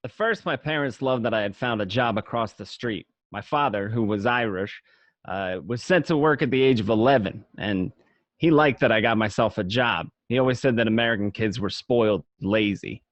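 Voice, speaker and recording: A man's voice: on the low side, normal speed, no echo, close to an everyday voice. Guy playing nostalgic gangster narrator in a radio play, matter of fact, slightly dull home recording, no room sound. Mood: nostalgic, proud. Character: male gangster looking back fondly on his youth. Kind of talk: amateur radio drama first-person narration.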